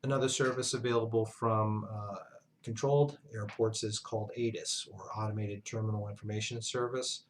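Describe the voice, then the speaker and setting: A man giving an instructional lesson as a flight instructor, speaking in a measured, halting way with pauses mid-sentence. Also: fairly low pitch, steady tone, slight background noise. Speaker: flight instructor, university lecturer. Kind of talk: instructional narration, explanatory lesson.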